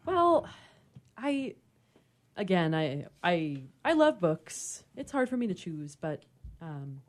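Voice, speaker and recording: Woman on a radio chat panel, kinda low voice, enthusiastic and casual. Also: sing-song, slow.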